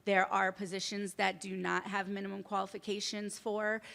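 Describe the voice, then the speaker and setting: A woman presenter answering audience questions at a workshop, explaining in halting sentences full of fillers. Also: mid-range voice, little pitch movement, vocal fry, fairly bright tone. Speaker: civil service program presenter.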